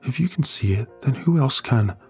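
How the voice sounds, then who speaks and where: A man's voice: fairly low pitch, slow pace, darkish tone, breathy edge, soft background music, pitched above the performer's own voice. A man voicing a troubled teenage schoolgirl who speaks with firm, weary resignation. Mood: despairing.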